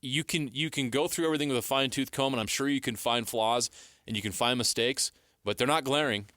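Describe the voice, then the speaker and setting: An American man co-hosting sports radio, giving measured, explanatory analysis in a conversational style on a close studio mic. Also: mid-range voice, fairly bright tone, dry recording. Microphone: close studio broadcast mic.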